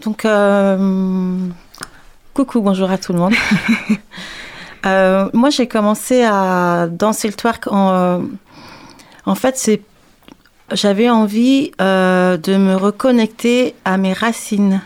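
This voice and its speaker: A woman from France, a dance teacher, answering interview questions in a radio studio, hesitant and pausing often to search for words. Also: medium pitch, a little mellow, clean tone. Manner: hesitant, with frequent "euh" fillers and restarts.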